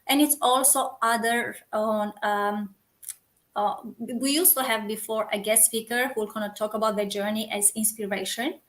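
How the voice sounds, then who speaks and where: A woman's voice: mid-range voice, fairly even intonation, moderate pace, a bit bright. A woman from Romania with a Romanian accent, a community founder chatting earnestly as a guest and often restarting as she hunts for words. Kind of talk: casual interview chat.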